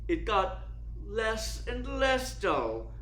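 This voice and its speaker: Guy playing a cold snooty theater critic in a radio drama, highish male voice, echoey room w some noise. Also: very animated, very slow, a bit bright, full character voice. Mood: menacing, exposing. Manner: cold, precise, imperious, worldly. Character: suave, cynical, domineering male theater critic. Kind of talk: dramatic radio play acting. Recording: roomy echo with clear background noise.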